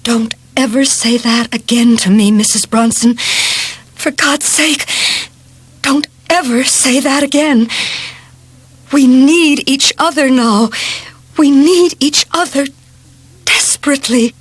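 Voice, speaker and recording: A woman's voice: high-pitched, slow pace, husky, dry recording, pitched above the performer's own voice, full character voice. A woman narrator voicing a distraught woman in an audio story, pleading urgently and emotionally with an old companion. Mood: desperate, pleading. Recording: archive audio tape, loud music. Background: prominent background music.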